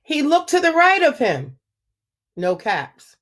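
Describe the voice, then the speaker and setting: A woman reading a picture book aloud to children, slow and expressive, pausing to ask them questions. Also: high voice. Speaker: children's story reader. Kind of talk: read-aloud storytelling for children.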